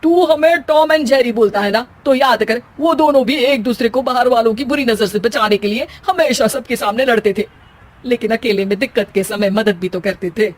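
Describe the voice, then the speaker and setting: A woman's voice: fairly high pitch, moderate pace, close to an everyday voice. A woman voicing a bossy older mother-in-law in a comic family story, scolding and taunting dramatically.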